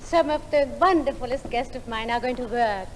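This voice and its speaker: A woman's voice: on the high side, normal speed, lightly acted. A woman playing a glamorous, vain, flirtatious film star in a stage comedy sketch, speaking in a theatrical, grandly teasing way. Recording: noisy 1950s theatre recording, long hall reverb.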